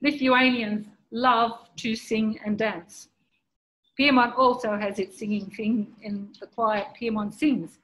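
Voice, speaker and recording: Brief: woman presenter, slightly higher voice, dull lo-fi sound with faint hiss. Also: fairly even intonation, takes it slowly, a bit bright. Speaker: community history speaker. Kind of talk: talk with slides. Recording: dull lo-fi recording with faint noise.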